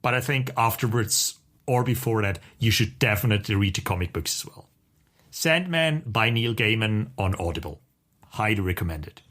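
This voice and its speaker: A man's voice: fairly low pitch, lively intonation, normal speed, fairly bright tone. A man talking casually and enthusiastically as co-host of a podcast.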